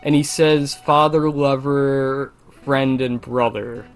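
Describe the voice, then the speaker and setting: A man's voice: mid-range voice, steady tone, slow pace, soft background music. A man chatting casually as a conversation partner, agreeable, informal and a little hesitant. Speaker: co-host or guest reacting to the story. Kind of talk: casual chat.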